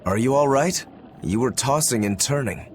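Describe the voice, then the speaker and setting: An actor playing an odd, earnest warrior in a fantasy game, with a plain-spoken, literal manner. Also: male voice, mid-range voice, lively intonation, moderate pace, a little hiss or hum, close to an everyday voice. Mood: earnest, calm. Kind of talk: dubbed fantasy game acting.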